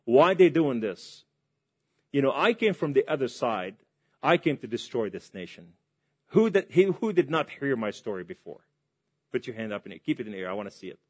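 A man's voice: mid-range voice, big pitch swings, a little fast, breathy edge. Impassioned male preacher from Lebanon. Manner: impassioned, swings between loud and hushed. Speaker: preacher giving testimony. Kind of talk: testimony sermon.

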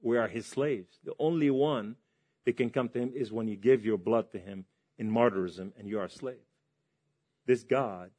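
A man's voice: on the low side, slightly rough. Impassioned male preacher from Lebanon. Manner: impassioned, swings between loud and hushed. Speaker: preacher giving testimony. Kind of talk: testimony sermon.

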